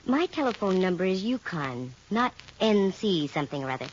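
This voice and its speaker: A woman playing a brisk, sharp-witted private detective, acting and narrating in the style of 1940s radio. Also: mid-range voice, sing-song, slight background noise, natural voice. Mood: brisk, intent. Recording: muffled vintage radio recording. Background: music.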